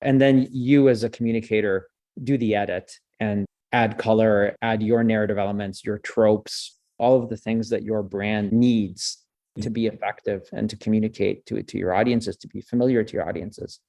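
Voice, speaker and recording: A man's voice: lowish voice, fairly even intonation, moderate pace, creaky, warm tone, no echo. A man from French Canada, a native French speaker, answering interview questions as a communications professor in a thoughtful, discursive way, often restarting and tagging "right?".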